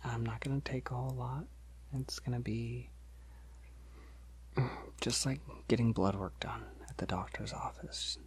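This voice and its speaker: A man playing a polite, gentle, shy vampire in an ASMR roleplay, speaking softly and hesitantly to reassure the listener, often near a whisper. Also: mid-range voice, husky. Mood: gentle, reassuring. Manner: hesitant, reassuring, halting with soft chuckles.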